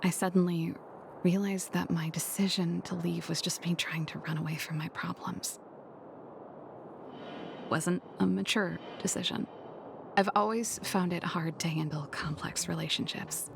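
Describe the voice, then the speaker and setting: A woman voicing a guarded young woman in a game who admits she runs from her problems, with a low, breathy delivery. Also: fairly even intonation, unhurried, background noise. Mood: reflective. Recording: game audio with music behind.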